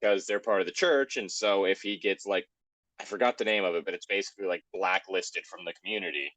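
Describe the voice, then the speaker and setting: A man's voice: low-pitched, fairly quick, a bit bright, no echo. A man hosting an online show in casual, rambling banter, self-deprecating and prone to swearing.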